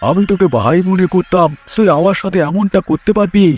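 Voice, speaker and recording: Blunt, exasperated village husband played by a man, high voice, quick delivery, cartoon fairy tale. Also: steady tone, darkish tone, soft background music. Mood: indignant. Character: honest, indignant village husband and elder brother.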